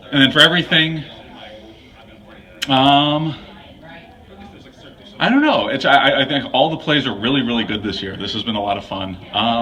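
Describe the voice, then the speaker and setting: A man giving casual interview answers as an actor and director, halting often as he thinks aloud. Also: on the low side, bright, forceful tone, rough recording.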